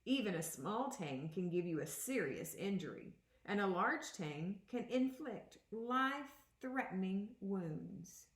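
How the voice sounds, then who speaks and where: A woman's voice: mid-range voice, lively intonation. Woman teacher reading aloud, echoey room. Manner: deliberate teacherly phrasing, pausing to explain words. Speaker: second-grade teacher. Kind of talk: children's picture book read-aloud.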